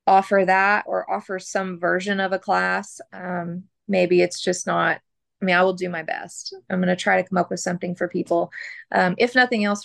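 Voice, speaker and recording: A woman butcher as a guest, chatting casually with plenty of fillers while her voice is going hoarse. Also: on the low side, a bit bright, no echo. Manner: conversational, many fillers and asides. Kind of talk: casual interview chat.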